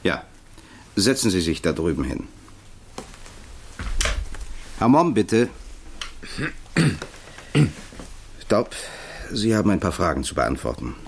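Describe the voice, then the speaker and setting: Deep-voiced brusque boss. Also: male voice, very animated, normal speed, a little hiss or hum. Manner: brusque, interrogating. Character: stern, brusque male boss of computing centre. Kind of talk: 1960s German radio drama acting.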